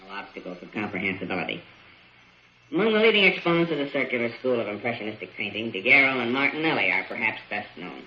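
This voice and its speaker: Pompous academic, rapid delivery, wordy, echoing, muffled old radio recording with faint noise. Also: male voice, medium pitch, sing-song, sharp tone. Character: pompous recorded art lecturer spouting big words. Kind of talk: rapid mock-academic lecture in radio drama. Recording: echoey, dull, noisy old radio recording.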